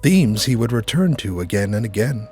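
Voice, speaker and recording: Animated male host over faint music. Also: lowish voice, normal speed. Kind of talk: scripted introduction and commentary.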